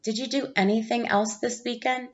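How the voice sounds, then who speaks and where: A woman reading a storybook as a kind, gentle schoolteacher with an encouraging, measured manner. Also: on the high side, fairly even intonation, muffled recording, close to an everyday voice.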